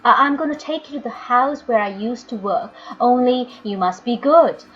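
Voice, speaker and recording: A woman voicing a frail, loving, worn-out mother in a storybook, speaking earnestly and haltingly. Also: on the high side, close to an everyday voice. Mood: earnest, urging. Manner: earnest, halting, gently urging. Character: frail, loving, exhausted mother of poor children. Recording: dull lo-fi audio, music underneath.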